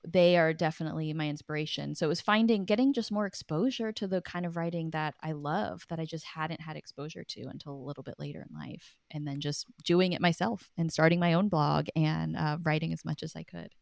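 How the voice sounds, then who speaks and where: A woman's voice: deep voice, brisk, creaky, hardly any pauses, no echo, clean studio sound. A woman in her thirties speaking earnestly and emphatically in a reflective, conversational interview as a guest. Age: 30s. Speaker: podcast guest, writer.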